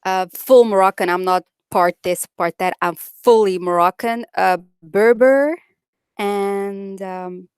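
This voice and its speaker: A woman's voice: medium pitch, takes it slowly, a little mellow, clean tone, no echo, studio quality. A young woman with a Dutch accent, chatting bluntly with viewers on a live stream and reading out their comments. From Netherlands. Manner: blunt, outspoken, says it like it is.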